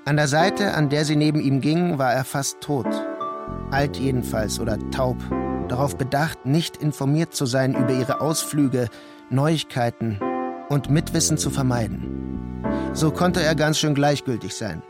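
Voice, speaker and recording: A man reading scripted literary narration for a radio play in a measured, deliberate way. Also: medium pitch, expressive. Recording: prominent music under the voice. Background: music.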